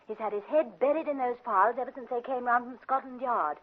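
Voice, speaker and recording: An actress playing a detective's pert secretary in a classic radio drama, with an English accent and an arch, expressive manner. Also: female voice, on the high side, fast, natural voice. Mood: wry. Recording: dull vintage radio recording.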